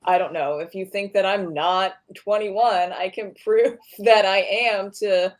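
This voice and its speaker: A woman's voice: medium pitch, measured pace. Chatty woman in her thirties. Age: 30s. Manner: chatty, rambling, laughs often. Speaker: podcast guest. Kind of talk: casual group chat.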